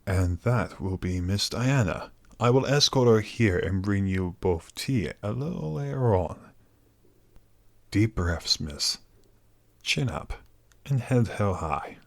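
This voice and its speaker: Man playing deep-voiced, fatherly butler. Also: expressive, slow, gravelly, dry recording, exaggerated voice. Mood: reassuring. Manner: formal, courteous, reassuring. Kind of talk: audio roleplay acting.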